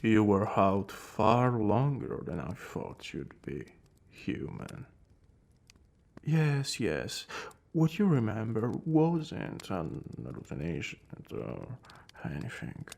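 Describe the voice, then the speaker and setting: A man acting a brooding vampire lord, aloof yet secretly caring, in a soft, breathy ASMR roleplay that often drops toward a whisper. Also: fairly low pitch, very animated, slow pace, heavily acted. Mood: gentle concern. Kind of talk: ASMR roleplay, intimate dramatic monologue.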